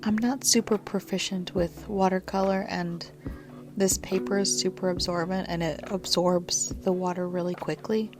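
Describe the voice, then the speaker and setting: A woman with a scratchy, congested-sounding throat, narrating a journaling video in a soft, half-whispered voice. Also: fairly low pitch, fairly even intonation, normal speed. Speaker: journaling vlogger. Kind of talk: soft-spoken voice-over narration. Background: lo-fi music.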